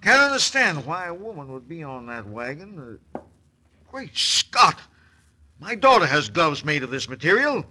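A man's voice: a little high, very animated, normal speed, bright, forceful tone, full character voice. An actor playing a hot-tempered, imperious middle-aged army major and anxious father in an old-time radio western. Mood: shocked, worried. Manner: blustering, imperious, exclamatory.

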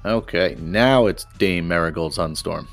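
A man's voice: low voice, vocal fry, soft background music. A man acting as game master, calling rolls and rulings in a tabletop game. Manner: rules-focused, quick to adjudicate.

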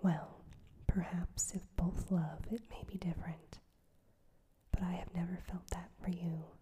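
A woman voicing a proud, gentle young woman who refuses a suitor, in a soft whisper. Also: fairly low pitch, little pitch movement, a little slow, close to an everyday voice. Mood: firm, wistful. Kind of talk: whispered audiobook reading.

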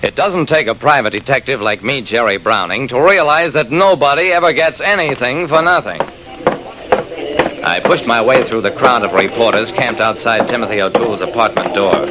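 Man acting a wry private detective, animated delivery. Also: medium pitch, moderate pace, noisy background, natural voice. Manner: terse, wry. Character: wry, plain-spoken male private detective narrator. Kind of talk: old-time radio drama narration. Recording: vintage radio recording.

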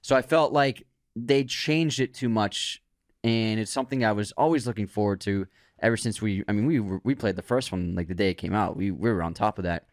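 A man co-hosting a podcast, chatting casually and giving animated opinions, often restarting words. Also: fairly low pitch, fairly quick, no echo, clean studio sound. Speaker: podcast co-host. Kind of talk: casual chat.

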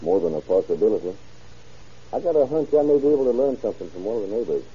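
Lively deep-voiced investigator. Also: male voice, fast, breathy edge, heavily acted. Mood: inquisitive. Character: keen, hunch-following male investigator assisting sheriff. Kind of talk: old-time radio drama acting. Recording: dull muffled old radio recording.

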